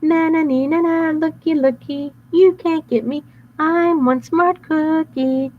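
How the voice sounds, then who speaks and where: A cheeky little gingerbread cookie boy, as a woman storyteller puts him on, chanting his taunts in a high, bouncy sing-song. His lines are drawn out slowly, and the recording sounds a little dull, with a faint hum behind it. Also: steady tone. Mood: taunting.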